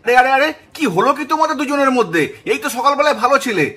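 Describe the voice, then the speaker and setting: Man acting urgent, high-pitched village husband. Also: animated, very fast, pushed and bright, slightly rough, full character voice. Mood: alarmed, urgent. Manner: urgent, repetitive, admonishing. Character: alarmed village husband, commanding and pleading for calm. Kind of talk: puppet cartoon family drama acting.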